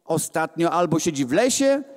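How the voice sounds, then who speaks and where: A man voicing a practical, encouraging storybook grandmother, brisk and matter-of-fact, in an echoey hall. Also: on the high side, sing-song, pitched above the performer's own voice. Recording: echoey hall, amplified voice filmed from the room.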